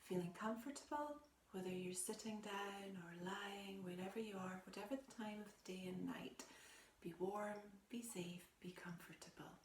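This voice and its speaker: A woman leads a guided meditation in a gentle, soothing, soft voice that often turns breathy and close to a whisper, with long pauses. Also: medium pitch. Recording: roomy echo with faint background noise.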